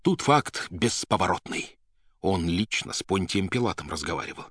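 A man's voice: sits low, lively intonation, moderate pace, fairly bright tone, no echo, studio quality. A man voicing an agitated, half-crazed young poet in an audiobook, indignant and vehement, with colloquial speech. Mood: insistent.

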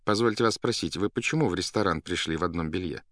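A man voicing a polite, inquisitive psychiatric doctor in an audiobook, courteous and measured as he questions. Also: low-pitched, a bit bright, dry recording, studio quality. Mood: politely probing.

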